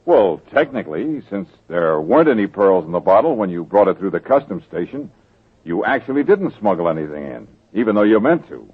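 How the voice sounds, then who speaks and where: Cocky old radio detective, husky and low, voice bouncing all over, thin tinny sound. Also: male voice, big pitch swings, fairly quick, exaggerated voice. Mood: easygoing. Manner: dry, teasing. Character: wry confident male private detective. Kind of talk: 1940s radio drama acting.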